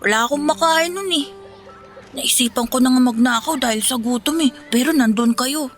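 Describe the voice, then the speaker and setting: A woman's voice: sits high, normal speed, faint music behind, lightly acted. A woman with a Filipino accent playing an earnest, polite orphaned runaway boy in a radio drama, with a childlike voice. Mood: grateful, sorrowful. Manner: polite, deferential.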